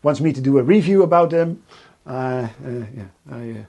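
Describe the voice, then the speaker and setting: A Dutch man with a Dutch accent, hosting a casual live stream from his workshop and talking in a halting way with many fillers and restarts. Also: mid-range voice, darkish tone. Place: home workshop.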